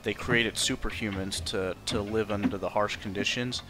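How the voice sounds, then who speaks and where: A man's voice: fairly low pitch, fairly even intonation, moderate pace. A man giving casual, informal playthrough commentary as a game streamer, with some swearing. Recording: game music behind the voice.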